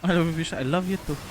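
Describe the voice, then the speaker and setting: A young man from Poland talks through his game in a loose, easygoing stream style. His voice sits a touch higher than most men's, and he drops the odd swear. A faint background hiss runs under him. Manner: laid-back, chatty, swears casually, chuckles often. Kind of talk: casual live gameplay commentary.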